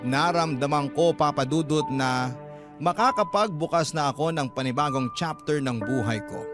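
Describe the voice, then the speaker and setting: A man narrates a sentimental letter as a radio drama host. His voice sits slightly high, with deliberate, dramatic phrasing, and loud music plays behind him. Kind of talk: dramatic first-person letter reading.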